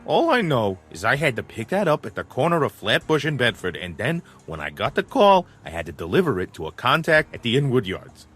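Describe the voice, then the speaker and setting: A man's voice: medium pitch, huge pitch swings, brisk, hardly any pauses, a bit bright, breathy edge, faint music behind, heavily acted. A man acting as a hapless, hard-drinking small-time crook in an audio drama, nervous, wheedling and self-pitying. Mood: nervous, evasive.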